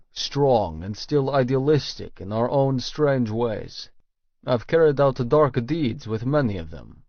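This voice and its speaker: A man's voice: medium pitch, no echo. Man narrating a novel, dry muffled sound. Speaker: audiobook narrator. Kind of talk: scripted first-person fiction narration.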